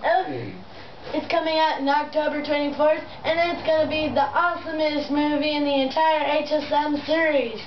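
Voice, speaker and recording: A young woman hosting a homemade puppet show, improvising in a halting, rambling, enthusiastic way. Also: sits high, steady tone. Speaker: amateur puppet show host. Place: room in a house. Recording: muffled, distant, noisy echoey home video.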